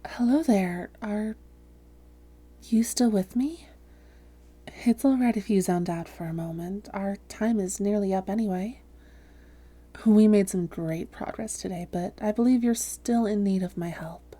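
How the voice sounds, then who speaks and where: A woman playing a seductive, domineering vampire posing as a therapist in an intimate roleplay audio, speaking softly and deliberately with frequent whispering. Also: medium pitch. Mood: soothing, professional. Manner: soft, breathy, often whispering, deliberate. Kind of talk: intimate roleplay audio drama, hypnotic delivery.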